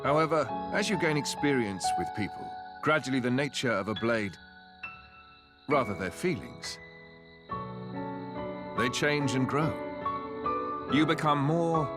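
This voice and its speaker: A man's voice: medium pitch, animated, unhurried, long pauses, music in the background, natural voice. An actor playing a confident, earnest young nobleman in a fantasy game, articulate and encouraging. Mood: thoughtful.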